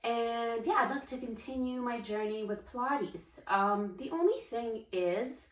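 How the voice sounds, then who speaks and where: Woman, late twenties, unhurried casual vlog chat, echoey room. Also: a little high. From Ukraine. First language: Ukrainian. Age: late 20s. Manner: chatty, thinking aloud with fillers and restarts. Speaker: vlogger.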